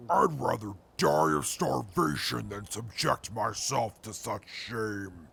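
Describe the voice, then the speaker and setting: A man playing a proud, stubborn super mutant in a post-apocalyptic audio drama, gravelly and pompous in his formal wording. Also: mid-range voice, takes it slowly, a bit bright, full character voice. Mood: indignant.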